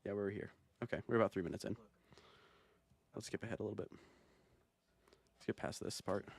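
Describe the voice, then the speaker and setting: A man's voice: fairly low pitch, normal speed, creaky, no room sound. A man casually commenting on his own music video, rambling and self-amused with plenty of fillers. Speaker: video creator.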